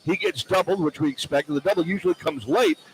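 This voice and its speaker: A man's voice: a little high, big pitch swings, a little fast, vocal fry. A man calling live radio play-by-play of a basketball game from courtside on a broadcast headset. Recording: loud arena noise behind the voice.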